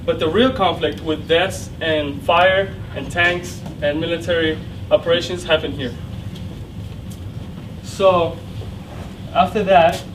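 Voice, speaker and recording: Ukrainian-accented young man presenting at a distance. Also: high-pitched. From central Ukraine. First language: Russian. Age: young adult. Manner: explanatory, with frequent fillers and restarts. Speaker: student presenter. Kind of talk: classroom presentation with slides. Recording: distant mic with clear background noise.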